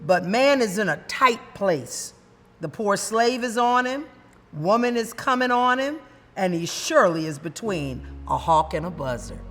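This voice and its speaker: A woman voices a bold, witty older woman orator who preaches with rising fervor. The voice climbs high and rings out loudly. A long reverb and faint music hang around her. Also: lively intonation, unhurried. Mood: rousing.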